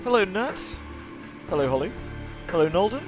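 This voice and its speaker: A British man chats casually to his livestream audience in a fairly high voice that swings widely in pitch. He is friendly and informal, addressing viewers one by one. Music plays clearly behind him. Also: very animated, normal speed. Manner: chatty, friendly, informal with mild swearing. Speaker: live streamer, host. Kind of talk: casual livestream chat, greeting and answering viewers. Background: moody music.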